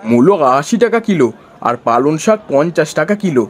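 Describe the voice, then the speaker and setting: Man acting a plaintive, high-voiced poor village vendor. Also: big pitch swings, normal speed, some chatter behind. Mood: pleading. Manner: lamenting, pleading. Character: luckless, plaintive poor village vegetable seller husband.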